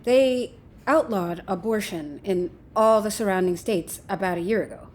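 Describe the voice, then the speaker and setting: A woman's voice: medium pitch, normal speed, darkish tone, natural voice. A woman playing a flippant, unrepentant lover in an audio drama, with a breezy, casual, needling manner. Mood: breezy, reasoning. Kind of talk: naturalistic audio drama acting.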